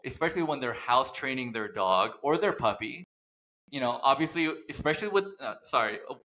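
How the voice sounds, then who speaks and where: Bright-voiced man hosting a podcast. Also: medium pitch, lively intonation, fairly quick. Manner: rambling, self-correcting. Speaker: podcast host. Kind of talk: podcast conversation.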